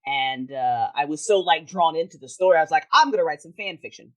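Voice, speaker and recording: A woman chatting casually as a podcast guest, animated, self-deprecating and rambling. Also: low-pitched, a little fast, rough recording. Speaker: podcast guest, author and streamer. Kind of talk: casual chat.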